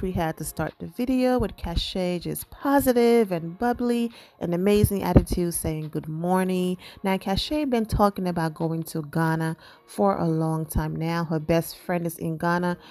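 A woman with a Liberian accent runs a celebrity gossip vlog, chatting to her audience and tagging her points with a quick "okay?". Her voice sits a little low for a woman. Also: darkish tone. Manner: chatty, emphatic asides with "okay?". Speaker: entertainment news vlogger. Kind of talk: casual gossip commentary.

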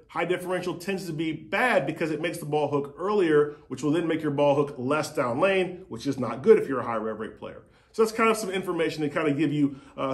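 Man, 40s, slightly high voice, company head giving a casual hands-on demo at a desk, conversational and repetitive. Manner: demonstrates with props, conversational, repeats points. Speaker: company CEO explaining bowling equipment. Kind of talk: casual explanatory demo to camera. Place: bright showroom with bowling balls on a wall.

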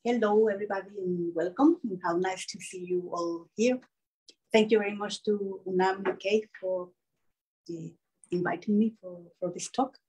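A middle-aged woman from Mexico with a Mexican accent, a Spanish speaker giving a lecture in English from a prepared text, reading quotations slowly and carefully. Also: medium pitch, fairly even intonation, warm tone, slightly rough, rough recording. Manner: measured, reads quotations slowly. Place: seminar room with projection screen.

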